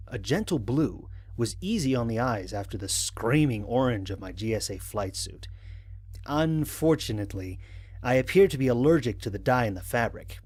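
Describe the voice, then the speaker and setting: A man playing a wry human astronaut captain in an audio drama, speaking in a dry, measured way. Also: lowish voice, slightly rough, dry recording. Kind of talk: audio drama narration as log entries.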